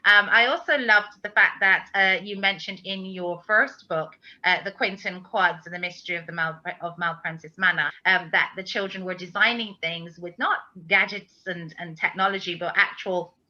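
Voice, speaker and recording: A woman's voice: on the low side, normal speed, hardly any pauses, sharp tone. A woman, a teacher hosting a book talk interview, speaking effusively on slightly dull-sounding audio.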